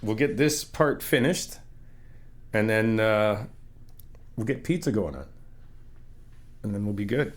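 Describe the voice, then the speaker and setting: Brief: young adult man, lowish voice, relaxed teasing friend, soft reassuring ASMR delivery. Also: measured pace, pauses often. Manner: easygoing, teasing, reassuring, laughs often. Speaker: ASMR roleplay creator playing a supportive friend. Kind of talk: ASMR roleplay.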